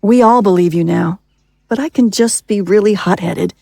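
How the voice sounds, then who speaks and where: A woman plays a blunt, fiery small-town mother in an audio drama. Her voice sits at a medium pitch, recorded close and without room sound. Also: normal speed, vocal fry, warm tone, no room sound. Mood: apologetic. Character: hot-headed, protective small-town mother with a blunt tongue.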